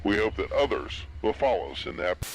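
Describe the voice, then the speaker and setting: A man playing a radio newsreader, reading a formal emergency bulletin in a measured, official way. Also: on the low side, expressive, vocal fry, background noise. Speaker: radio news announcer. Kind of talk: scripted radio news bulletin.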